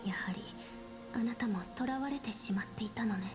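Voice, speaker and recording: Woman voicing young game saint, solemn, measured, breathy, lightly high pitch, music underneath. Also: natural voice. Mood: sorrowful. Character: solemn, resolute young female saint and prophet. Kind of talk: Japanese video game voice acting.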